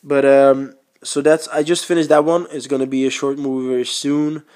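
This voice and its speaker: A young Swedish-born man with a cold, talking casually and rambling, with many pauses and restarts. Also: mid-range voice, level tone, darkish tone. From Sweden. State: has a cold. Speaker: podcast host and stand-up comedian. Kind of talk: casual monologue.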